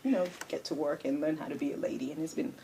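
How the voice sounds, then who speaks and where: A woman's voice: low-pitched, rapid-fire, mellow, slight background noise. A woman from Nigeria with a Nigerian accent, a business coach chatting as a talk-show guest, upbeat and full of "you know". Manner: upbeat, laughs often, many "you know" fillers. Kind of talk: casual talk-show interview.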